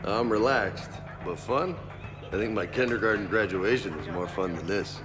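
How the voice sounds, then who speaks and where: An actor playing a brash, muscular young soldier in a video game, casual and boastful in delivery. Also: male voice, fairly low pitch, normal speed, music in the background, close to an everyday voice. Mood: dry, casual.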